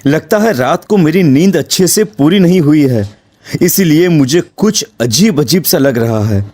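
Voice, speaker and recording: Cartoon story lead: anxious, curious young male student, fairly high voice, exclaims readily; male actor. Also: expressive, moderate pace, darkish tone. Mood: puzzled. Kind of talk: dramatised Hindi cartoon horror story dialogue.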